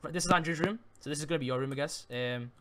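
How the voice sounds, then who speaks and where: A man's voice: fairly high pitch, very fast, pushed and bright. A man chatting casually in an improvised gaming roleplay, rambling and often repeating himself. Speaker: player in a Minecraft roleplay video. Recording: game music behind the voice.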